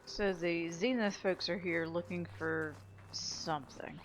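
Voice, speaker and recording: Low-pitched woman doing gameplay commentary. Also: fairly even intonation, a little slow, a little hiss or hum. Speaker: video game let's play commentator. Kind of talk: casual gameplay commentary, thinking aloud.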